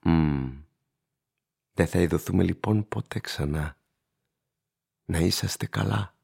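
Husky, very deep old shoe shiner. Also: male voice, a little mellow, heavily acted. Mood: resigned, courteous. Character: ageing male shoe shiner, plain-spoken, courteous, gravely cautioning. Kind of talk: radio drama acting.

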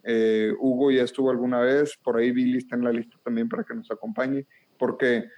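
A man's voice: medium pitch, little pitch movement, fairly quick, muffled recording. Earnest northern Mexican man hosting a podcast. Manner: earnest, thankful, many filler words. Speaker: podcast host. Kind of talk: casual chat.

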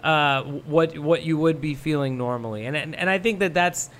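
A young man who grew up in Thailand, talking as a guest in a casual chat, rambling and relaxed with many fillers. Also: on the high side, normal speed. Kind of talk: casual podcast conversation.

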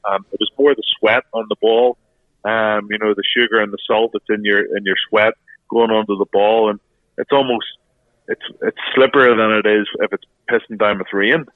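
A man whose voice is going hoarse gives frank, halting answers as a podcast guest, stopping and restarting as he talks. His voice sits low and flat. It comes through a tinny, dry phone line. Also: no room sound. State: losing his voice. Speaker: former rugby player, guest pundit. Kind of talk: podcast interview over phone.

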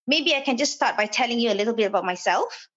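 A woman's voice: fairly high pitch, expressive, quick pace, fairly bright tone, highs cut off. A woman from Malaysia, a property developer, speaking as a podcast guest, hesitant with many fillers and restarts.

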